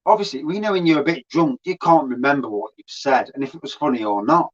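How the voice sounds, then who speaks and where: A man's voice: medium pitch, fast talker, lo-fi sound. A man, a beer reviewer, chatting casually as a guest on a stream, swearing freely and laughing as he tells stories.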